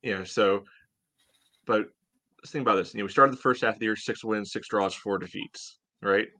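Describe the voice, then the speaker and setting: A man co-hosting a sports podcast, chatting casually and thinking aloud in a halting, conversational way. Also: on the low side, pushed and bright. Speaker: podcast host.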